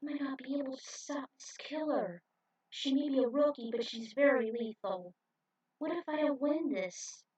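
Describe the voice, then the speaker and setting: A woman playing a shrill, fiery young pilot. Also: normal speed, husky, highs cut off. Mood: anxious. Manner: shrill, shouting, desperate.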